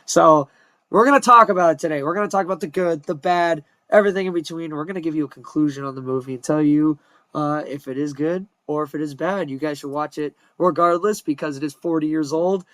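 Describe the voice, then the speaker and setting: A man in his twenties co-hosting a casual podcast from home, upbeat and jokey with loud bursts. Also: on the high side, fast, dry recording. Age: 20s. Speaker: podcast co-host. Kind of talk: casual chat. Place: home room.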